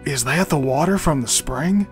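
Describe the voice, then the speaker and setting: A man's voice: fairly high pitch, animated, moderate pace, soft background music, close to an everyday voice. A man playing an earnest, smitten young man in an audio drama. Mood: urgent.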